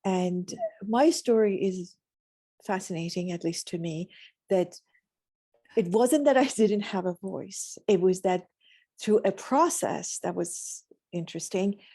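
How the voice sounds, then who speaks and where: A woman from Iran, telling her story reflectively in a measured, philosophical way as a guest. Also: fairly low pitch, vocal fry, mellow, slightly rough, dry recording. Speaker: podcast guest.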